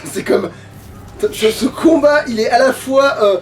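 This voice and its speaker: A man's voice: extremely high pitch, a little slow, a little hiss or hum. A man commenting casually on his own gameplay in slangy, joking, crude language. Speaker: gamer, Let's Play player. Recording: distant mic with music. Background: game music.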